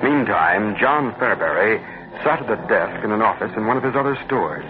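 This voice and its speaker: A man's voice: on the low side, expressive, moderate pace, a crowd in the background, natural voice. A man narrating a scripted old-time radio drama. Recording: muffled vintage radio recording.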